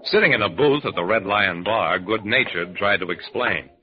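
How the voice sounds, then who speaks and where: An actor playing a wry, commanding hard-boiled detective, narrating deadpan in a 1940s-style radio drama. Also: male voice, fairly low pitch, moderate pace, natural voice. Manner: deadpan, wisecracking. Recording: dull old radio recording.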